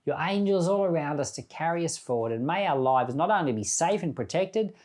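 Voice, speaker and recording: A man's voice: fairly high pitch, animated, a little fast, darkish tone. A man speaking as a pastor, giving informal Bible teaching in a reflective, conversational storytelling manner.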